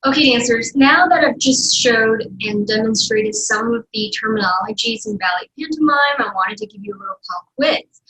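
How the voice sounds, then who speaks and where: Young female dance instructor, casual explanatory delivery, bright voice, very echoey room recording. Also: on the high side, moderate pace. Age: young adult. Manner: casual explaining with frequent check-in "right?". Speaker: ballet teacher and host. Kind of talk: instructional demonstration, explaining to camera. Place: small bedroom, indoors. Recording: echoey room, distant mic, faint noise.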